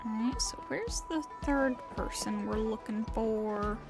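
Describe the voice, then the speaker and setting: A woman's voice: fairly high pitch, measured pace, over music. A woman live-streaming a game with casual, offhand, wry commentary.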